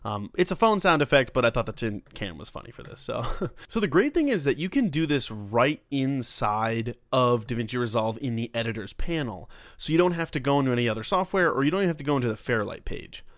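A man hosting a casual software tutorial, talking informally through each step as he works. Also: mid-range voice, no echo, clean studio sound. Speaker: tutorial host.

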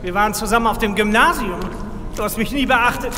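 An actor playing a vengeful, gloating tech-nerd villain in a comic radio play, whiny, theatrical and pompous. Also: male voice, high voice, fairly quick, background noise, natural voice. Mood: aggrieved. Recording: long reverb, music behind.

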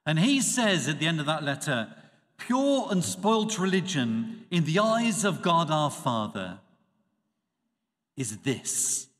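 A man, a priest giving a measured, expressive Sunday homily at a church lectern. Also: fairly high pitch. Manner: measured, expressive preaching with dropping phrase ends. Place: church sanctuary. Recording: reverberant church acoustics.

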